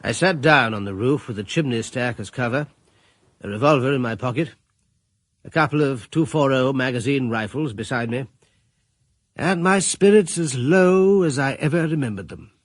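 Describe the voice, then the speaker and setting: An actor playing a grave, commanding middle-aged British gentleman adventurer, narrating a radio drama in measured, literary fashion. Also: mid-range voice, no echo, close to an everyday voice. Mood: grave, tense.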